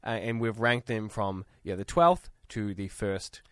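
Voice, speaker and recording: A man's voice: lowish voice, fairly even intonation, measured pace, fairly bright tone, no room sound, studio quality. A man with a New Zealand accent co-hosting a radio show in casual, giggly banter full of fillers. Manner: giggly, chatty, lots of fillers. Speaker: radio co-host. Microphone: studio microphone.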